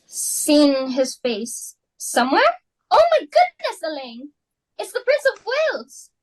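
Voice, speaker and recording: A woman reading the part of a fussy, high-strung society hostess in a student dramatic reading, with theatrical exclaiming and melodramatic swings. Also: huge pitch swings, takes it slowly, no echo, heavily acted, rough recording. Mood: flustered. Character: fussy society hostess, Mrs. Pringle, middle-aged woman. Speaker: student reader.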